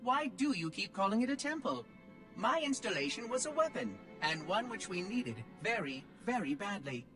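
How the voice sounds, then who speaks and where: A prim old machine oracle from a game speaks in a high, swooping voice. It is precise, officious and fussy, with music playing behind it. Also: male voice, big pitch swings, moderate pace. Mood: indignant, fussy. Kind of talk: game cutscene acting.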